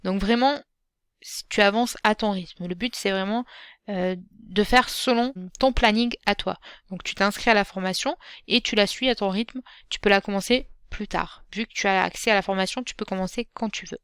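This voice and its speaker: A woman hosting her own podcast as a Quran teacher. She speaks gently and encouragingly, addressing the listener directly, with frequent fillers and restarts. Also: mid-range voice, normal speed, smooth, no echo, clean studio sound. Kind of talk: solo podcast talk.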